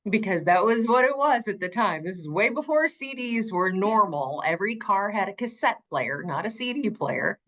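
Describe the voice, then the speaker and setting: A woman's voice: sing-song, normal speed, hardly any pauses, fairly bright tone. A middle-aged woman with an alto voice, telling her story emphatically as an interview guest. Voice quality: low alto, changed by vocal nodules. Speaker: guest, audiobook narrator.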